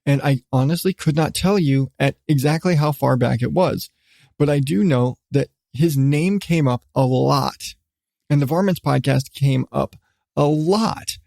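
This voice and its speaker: A middle-aged man hosting a casual solo podcast, talking in a rambling, halting way with repeated restarts. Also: a little high, vocal fry, darkish tone, dry recording, clean studio sound. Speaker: podcast host.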